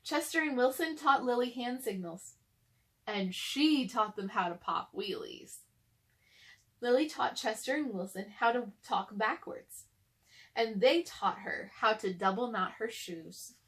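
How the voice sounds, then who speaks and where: Woman reading a kids book out loud, voice a bit on the high side. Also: lively intonation, measured pace. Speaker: storybook reader. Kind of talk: children's read-aloud narration.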